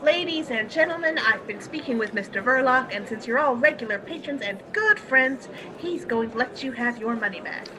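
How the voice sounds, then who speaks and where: A woman acting in a live radio play as a brisk, firm but kindly wife who runs a cinema. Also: a little high, expressive, a crowd in the background, close to an everyday voice. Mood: firm, exasperated. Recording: clearly noisy streamed audio, clicks.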